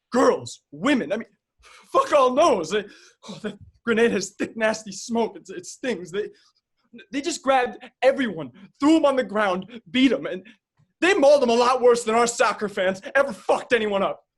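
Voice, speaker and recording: A man's voice: very high-pitched, animated, moderate pace, breathy edge, heavily acted. An actor playing a rowdy young soccer-fan protester who swears and tells his story in an agitated rush. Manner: crude, breathless storytelling, swearing.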